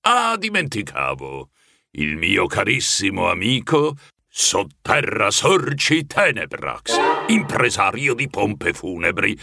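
A gruff, bossy old grandfather rat, talks slowly and solemnly, like a commander. The voice is raspy and forceful, with pompous, theatrical swings in a children's audio story. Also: lowish voice, wildly swooping pitch, no room sound, clean studio sound, heavily acted. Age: older. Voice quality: gravelly, husky.

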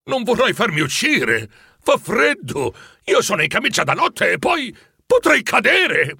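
A man voicing an excitable, easily frightened old miser who exclaims in a high, agitated voice. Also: moderate pace, creaky, breathy edge, no echo, studio quality, full character voice. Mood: alarmed.

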